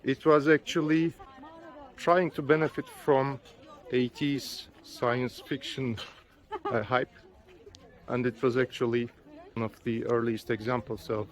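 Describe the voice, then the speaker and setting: A man's voice: medium pitch, rough recording. A man with a Turkish accent, a native Turkish speaker, chatting casually as a travel vlogger outdoors, recorded on a built-in camera mic. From Turkey. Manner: chatty, with fillers, loose phrasing. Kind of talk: casual travel vlog narration. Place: outdoors at an open-air museum.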